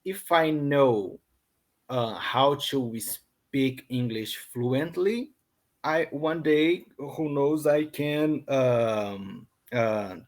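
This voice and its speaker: A man's voice: medium pitch, drawn-out words. A man from Brazil with a Brazilian Portuguese accent, an English learner chatting hesitantly on a video call, full of ums and restarts. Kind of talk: casual online lesson chat.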